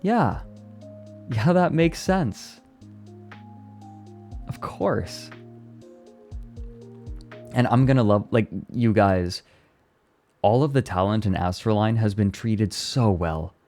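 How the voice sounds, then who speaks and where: Man, young adult, low voice, casual live-stream chat, answers chat, laughs a lot. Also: lively intonation, takes it slowly, long pauses, a little mellow, faint music behind. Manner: chatty, reads and answers chat, laughs often. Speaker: VTuber streamer.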